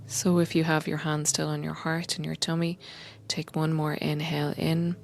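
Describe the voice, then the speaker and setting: A woman guiding a meditation in a gentle, unhurried, soothing way, often softening toward a breathy whisper. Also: deep voice, level tone, a little hiss or hum. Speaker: meditation guide and coach, podcast host. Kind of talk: guided meditation, soothing slow instruction.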